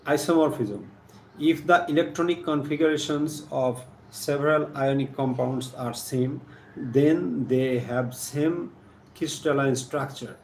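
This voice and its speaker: A man with a Bangladeshi accent giving a live chemistry lesson, partly reading aloud in a halting, deliberate manner, repeating phrases. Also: medium pitch, a little mellow. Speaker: science teacher hosting a live stream. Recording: echoey room with faint background noise.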